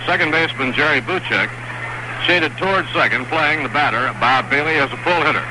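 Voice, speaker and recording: A man announces a baseball game live from the stadium booth, calmly calling each pitch. His voice sits a little high for a man. The sound comes through a noisy, hissing vintage radio broadcast from the 1960s. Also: fairly quick. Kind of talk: live radio sports commentary.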